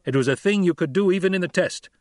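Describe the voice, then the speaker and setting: A stern desert chieftain with a harsh, commanding edge, as a male audiobook narrator voices him. He speaks a bit higher and louder than the narration, in a dry, close sound with no room around it. He is blunt and middle-aged. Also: quick pace, dry recording, close to an everyday voice. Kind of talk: audiobook character reading.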